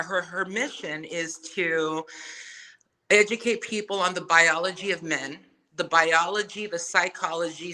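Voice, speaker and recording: A woman's voice: lowish voice, sharp tone, rough recording. A woman from Samoa, a native Samoan speaker, talking candidly and casually with slang and swearing as a podcast guest. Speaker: podcast guest, music artist. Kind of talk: casual conversational interview.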